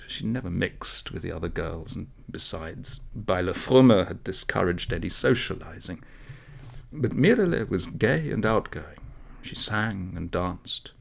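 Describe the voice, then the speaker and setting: Low, gravelly male storyteller. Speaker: audiobook story reader. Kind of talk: expressive literary storytelling narration.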